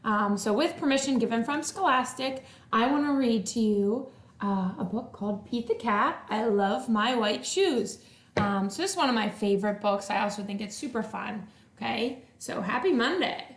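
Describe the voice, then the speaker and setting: A woman who teaches first grade, reading to young children in an animated, expressive, sing-song way, recorded indoors at home. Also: fairly high pitch, moderate pace. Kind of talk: read-aloud storytelling for young children. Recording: roomy home recording with echo.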